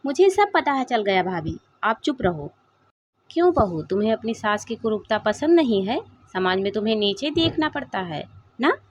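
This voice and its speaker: A woman's voice: medium pitch, sing-song, normal speed, a little hiss or hum, natural voice. A woman storyteller voicing a stern, sarcastic middle-aged uncle, a doctor, in a moral story. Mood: scolding, sarcastic. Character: indignant, grieving, scolding middle-aged male doctor uncle. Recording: dull, lo-fi sound.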